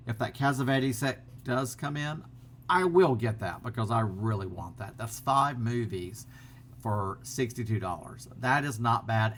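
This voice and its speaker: A man's voice: on the low side, a bit bright. Middle-aged man, easygoing vlogger, rambling chatty delivery, built-in laptop mic, faint hum. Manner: rambling, many fillers and restarts. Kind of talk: casual chat to camera. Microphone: built-in laptop camera mic.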